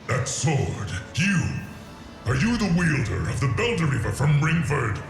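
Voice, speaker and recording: A proud dragon seethes with theatrical menace and vengeance. His fairly high voice moves slowly, with dramatic rises and falls, in a fantasy game scene drenched in echo. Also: big pitch swings. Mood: scornful. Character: vengeful proud male dragon, friend of a slain dragon. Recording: echoing voice over loud music.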